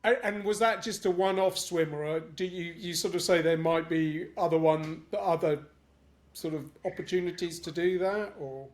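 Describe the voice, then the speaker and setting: Higher-voiced male podcast host. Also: fairly even intonation. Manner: enthusiastic, halting questions. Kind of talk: casual interview questions.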